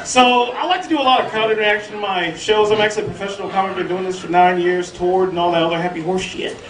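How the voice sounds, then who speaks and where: A man's voice: high-pitched, fast talker. A man doing a brash, profane stand-up set on a club stage, teasing the crowd. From southern United States. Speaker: stand-up comedian. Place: comedy club stage before a live crowd. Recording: roomy live club audio from a distance with crowd.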